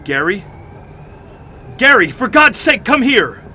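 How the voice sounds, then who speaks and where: An actor in a radio drama playing a distraught expedition doctor who is coming unhinged with fear, halting and repeating himself. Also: male voice, extremely high pitch, big pitch swings, sharp tone, slight background noise, heavily acted. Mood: desperate. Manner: halting, repeating words, laughing hysterically.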